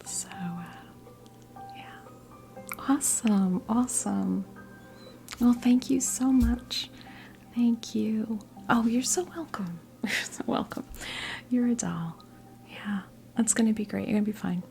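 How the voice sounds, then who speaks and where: An American woman in her fifties gives a whispered ASMR tarot reading as a kindly witch. Her soft, breathy whisper often drops into a creaky fry. She is gentle and reassuring, pausing and feeling her way through phrases, with music underneath. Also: on the high side. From New Jersey, United States. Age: 50s. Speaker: ASMR performer role-playing a tarot reader.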